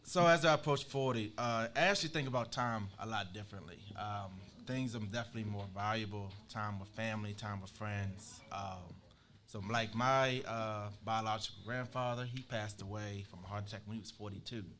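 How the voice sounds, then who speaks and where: A man's voice: fairly low pitch, a little fast, a bit bright. A man in his late thirties speaking thoughtfully on a podcast. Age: 30s. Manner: thoughtful, reflective. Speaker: podcast guest. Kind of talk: casual chat.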